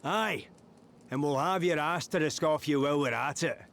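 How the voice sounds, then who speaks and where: An actor playing a plain-spoken, gruff adventurer companion in a video game, with a wry, folksy manner. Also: male voice, on the high side, moderate pace, fairly bright tone. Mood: wry, defiant.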